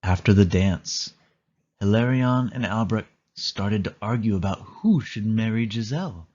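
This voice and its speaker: A man's voice: lowish voice, lively intonation, measured pace, darkish tone, highs cut off. A man reading a scripted children's story aloud as a read-along narrator.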